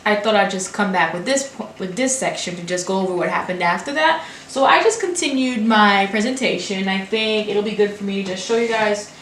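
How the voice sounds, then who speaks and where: Fast-talking young woman in an echoey room. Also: mid-range voice, a bit bright. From Brooklyn, New York. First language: not English. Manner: fast, run-on talk with restarts. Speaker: graduate student vlogger. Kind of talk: casual talk to camera. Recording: echoey room with faint hiss.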